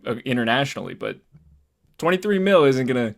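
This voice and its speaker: A man co-hosting a podcast in casual chat, halting and repeating words as he thinks aloud. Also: medium pitch, expressive, gravelly. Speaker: podcast co-host. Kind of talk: casual movie discussion.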